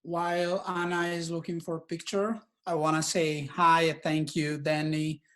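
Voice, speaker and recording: A man from Italy with an Italian accent, a filmmaker speaking hesitantly at an online community meeting over a video call, with many pauses and fillers. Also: on the high side, flat delivery, fairly bright tone.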